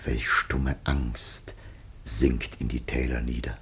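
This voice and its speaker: An actor playing a solemn, dying aged father in a classic German radio drama, husky and declamatory. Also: very deep voice, flat delivery, slow pace, full character voice. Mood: solemn lament.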